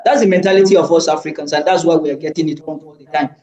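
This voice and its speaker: Male panelist, slightly high voice, pushy debater, roomy echo. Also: fairly even intonation, racing, warm tone. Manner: argumentative, insistent, with repeated restarts. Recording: echoey room.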